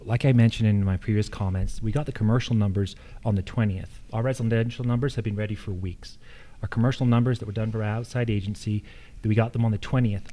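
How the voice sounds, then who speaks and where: Male candidate, warm relaxed tone, plain-spoken forum answers. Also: lowish voice. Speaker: incumbent county assessor and candidate. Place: live public forum on a college campus.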